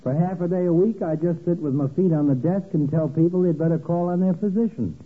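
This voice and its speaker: An actor playing a droll, needling doctor with a dry, mock-dignified manner in a 1940s radio comedy. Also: male voice, on the high side, quick pace, husky. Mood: dryly needling. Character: droll, needling male doctor and health editor. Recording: tinny, faintly noisy old radio broadcast.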